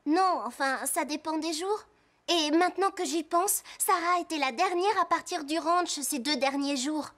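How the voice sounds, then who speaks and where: A woman's voice: sits high, moderate pace, a bit bright. A woman playing a suspicious, direct young girl in a French cartoon dub. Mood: puzzled, suspicious.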